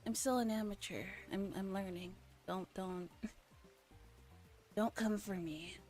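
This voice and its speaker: A woman's voice: medium pitch, slow, darkish tone, faint music behind. A woman streamer doing a playful, self-deprecating ASMR read-along of a visual novel. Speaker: amateur streamer.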